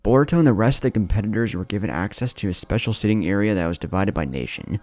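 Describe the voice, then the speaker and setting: A man's voice: fairly low pitch, lively intonation, fast talker, a little mellow. A man reading a dramatic scripted story as its narrator.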